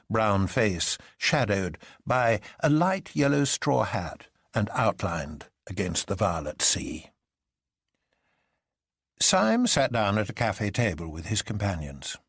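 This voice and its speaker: A man narrating a literary story in an expressive, read-aloud style. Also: fairly low pitch, husky, dry recording, clean studio sound.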